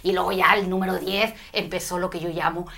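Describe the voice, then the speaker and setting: A middle-aged woman from Spain, telling her story as an interview guest with an animated, spiritual way of talking. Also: fairly low pitch, a little fast, vocal fry. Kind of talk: conversational interview.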